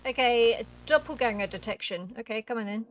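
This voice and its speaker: A woman's voice: on the high side, brisk. A woman giving casual game commentary and reading on-screen text aloud as a video host. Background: clear music.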